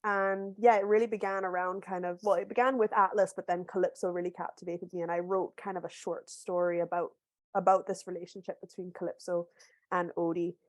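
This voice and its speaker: A woman from Barbados, an author, talking through a video call. She tells her story thoughtfully with small restarts and sounds a little hoarse from a cold. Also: fairly low pitch, flat delivery, fairly quick, no room sound. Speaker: author guest. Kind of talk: casual book club video call conversation.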